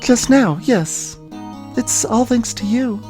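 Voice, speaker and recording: A woman acts out an earnest young woman from a video game. Her voice sits fairly high and is very mellow and soft. She is plucky and emotive, with music faintly behind her. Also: animated, slow pace. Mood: surprised, grateful. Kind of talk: dramatised video game dialogue reading.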